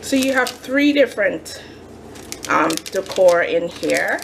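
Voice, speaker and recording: A woman's voice: medium pitch, animated, slow pace, vocal fry, a little hiss or hum, poor audio. A woman chatting casually as she shows off a craft shopping haul, gushing with many fillers, recorded at a kitchen counter. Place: home kitchen counter, indoors.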